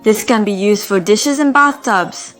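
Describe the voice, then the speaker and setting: A woman with a slightly hoarse voice, calmly and methodically explaining household products in a review vlog. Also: mid-range voice, measured pace. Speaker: home organizing vlogger.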